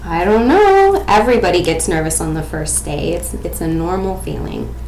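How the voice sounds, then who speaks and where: A woman's voice: on the low side, moderate pace. Young woman teaching in an echoey room. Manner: expressive, questioning. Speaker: teacher presenting a read-aloud. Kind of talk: interactive picture-book reading and explanation for children. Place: small domestic room indoors. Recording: echoey room, faint background noise.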